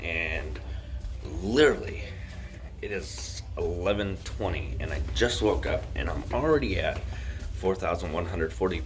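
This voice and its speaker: A man with a raspy voice chatting casually and informally to his viewers as a vlogger. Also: sits low, expressive, measured pace, faint music behind. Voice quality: raspy morning voice. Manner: addresses viewers directly, informal. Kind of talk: casual vlog chat to camera. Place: aboard a cruise ship. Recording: clear background noise, ship ambience.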